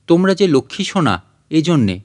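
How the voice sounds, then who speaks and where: A man voicing a gentle, homely mother in an audiobook story, tender in manner. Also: fairly high pitch, very animated, fairly quick. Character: gentle, affectionate mother, surprised by her husband. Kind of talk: audiobook character acting.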